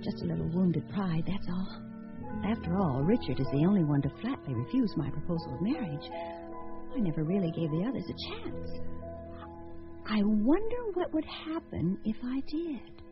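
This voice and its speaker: A woman's voice: medium pitch, very animated, moderate pace, over music. An actress playing a witty, flirtatious, self-dramatizing socialite woman in a radio drama, theatrical and arch. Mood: wounded musing. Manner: arch, theatrical, fond of "darling". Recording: dull lo-fi radio drama audio.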